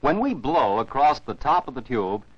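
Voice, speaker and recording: Lively American male educational film narrator, vintage sound. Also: mid-range voice, moderate pace, slightly rough, no room sound. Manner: didactic, carefully enunciated, posing questions. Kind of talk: scripted science narration for children. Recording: dull, dry 1949 film soundtrack.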